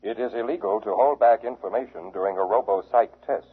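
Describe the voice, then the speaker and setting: An actor playing a cold, pedantic robot testing machine in a 1950s radio drama, with formal, clinical, measured delivery. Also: male voice, lowish voice, slightly rough. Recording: muffled, phone-like old radio broadcast.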